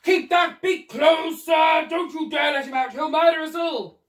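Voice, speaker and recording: A woman's voice: sits high, expressive, unhurried, fairly bright tone, voice pushed up. A woman reading aloud and voicing a pompous, hot-tempered old aristocratic Duke who bellows his threats and orders. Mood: furious bluster. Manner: bellowing, blustering. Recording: roomy echo, distant from the mic.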